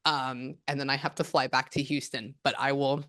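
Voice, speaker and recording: A woman's voice: extremely low pitch, level tone, normal speed, fairly bright tone. A woman working as a psychic medium, chatting casually and rambling with lots of restarts. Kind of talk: casual reading on a video call.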